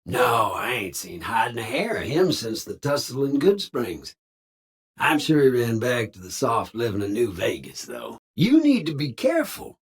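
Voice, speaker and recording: A man playing a jovial, slyly evasive cowboy robot in a video game, with a husky Southern American drawl and folksy slang. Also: mid-range voice, huge pitch swings, a little slow, heavily acted. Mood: friendly, evasive. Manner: folksy slang, theatrical swooping delivery. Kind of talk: video game character dialogue.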